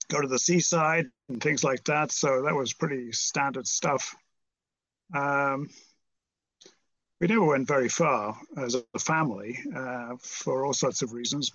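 A man's voice: mid-range voice, measured pace, a bit bright, dry recording, poor audio. A British man in his seventies from Merseyside, talking reflectively as an interview guest in a hesitant, rambling, understated way. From Merseyside, England. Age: 70s.

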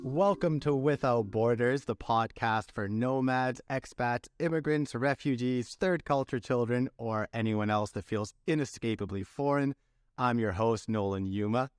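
Relaxed male podcast host, clear voice. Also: mid-range voice, measured pace, dry recording, clean studio sound. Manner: friendly, relaxed, reads a scripted intro. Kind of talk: casual interview.

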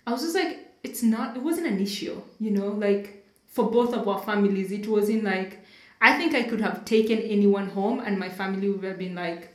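A woman's voice: medium pitch, normal speed. A woman from East Africa, English not her first language, co-hosting a podcast in a chatty way full of "like". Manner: chatty, frequent "like" fillers. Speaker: podcast co-host. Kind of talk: casual conversation. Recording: roomy echo.

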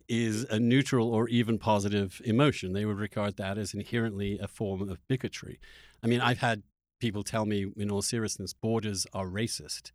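A man hosting a conversational interview, thinking aloud in a halting, opinionated way. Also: fairly low pitch, darkish tone, no room sound, clean studio sound. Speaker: interviewer, podcast host.

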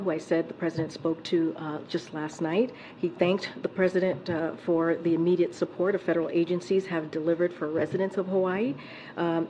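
A woman speaking as a press secretary at a briefing, halting and stumbling over names. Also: fairly low pitch, a little mellow, a little hiss or hum, rough recording.

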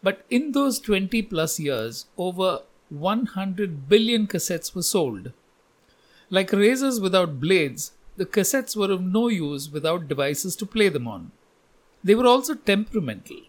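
A man's voice: high-pitched, big pitch swings, a little slow. A man hosting a podcast and reading scripted narration. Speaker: podcast host.

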